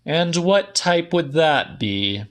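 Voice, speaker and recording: A man's voice: on the high side, sing-song, slow pace, pitched above the performer's own voice. A man reading an audiobook, voicing an incredulous, defensive man who protests in an indignant, questioning way. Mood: puzzled.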